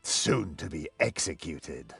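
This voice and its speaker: A man voicing a menacing, brutal enforcer in a fantasy game, terse and threatening, with a gravelly rasp. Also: very deep voice, animated, takes it slowly, fairly bright tone, no echo, soft background music, full character voice. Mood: grim menace.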